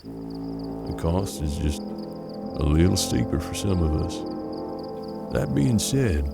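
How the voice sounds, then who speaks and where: Seasoned bounty hunter, very low pitch, gravelly, measured folksy speech, pragmatic, western audio drama. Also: male voice, sing-song, loud music behind the voice, full character voice. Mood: measured, shrewd. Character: seasoned male bounty hunter detective of 1870s Kansas, steady, pragmatic.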